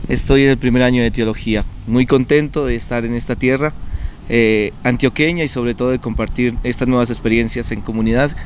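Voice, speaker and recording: A man's voice: mid-range voice, a little fast. Young male seminarian guest from Colombia, mid-range voice, earnest explanatory delivery, faint noise behind. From Colombia (Bucaramanga, Santander). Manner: calm, earnest, explanatory with frequent fillers. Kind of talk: conversational TV interview.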